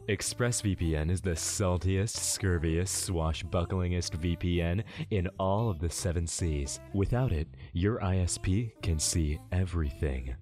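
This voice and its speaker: A man's voice: sits low, a little mellow. A man narrating a scripted comedic sponsor read as channel host, tongue-in-cheek.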